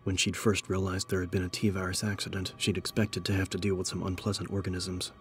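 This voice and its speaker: A man's voice: sits low, little pitch movement, brisk. A man narrating a horror audiobook in a scripted, dramatic style. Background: background music.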